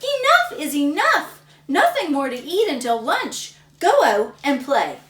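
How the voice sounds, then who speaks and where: A woman voicing an exasperated mother who scolds her son in a shrill, high voice. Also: wildly swooping pitch, slow, heavily acted. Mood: scolding. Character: exasperated mother of a hungry boy. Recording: roomy, slightly distant mic.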